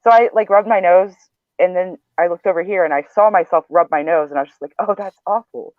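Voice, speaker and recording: A man's voice: sits high, fast talker, dry recording. A man with a nasal voice in a casual, rambling video chat, poking fun at himself. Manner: rambling, chatty, self-deprecating. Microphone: video call. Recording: tinny phone-like video call, dry.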